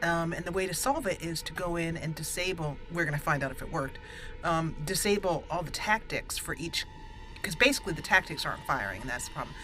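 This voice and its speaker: A woman's voice: low-pitched, a little fast, music in the background. A woman hosting a roleplay video game series, chatting casually with frequent fillers and self-corrections. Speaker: let's play host.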